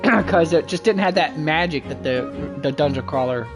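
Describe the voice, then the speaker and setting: Female game streamer, low voice, casual rambling commentary, lively intonation, game music behind. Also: normal speed. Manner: rambling, frequent throat-clearing. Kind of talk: casual live gameplay commentary.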